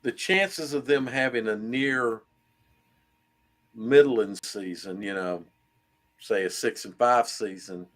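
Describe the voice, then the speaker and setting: A man co-hosting a casual sports talk show, speaking in a thoughtful way that trails off quietly. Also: medium pitch, takes it slowly, pauses often. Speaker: co-host.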